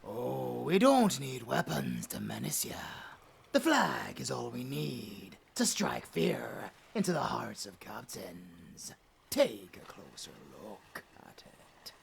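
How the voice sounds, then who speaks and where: A performer in an audio drama voicing a swaggering, theatrical pirate captain, gravelly and taunting, dropping into breathy growls. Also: medium pitch, big pitch swings, takes it slowly, exaggerated voice. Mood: menacing. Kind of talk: cinematic audio roleplay acting.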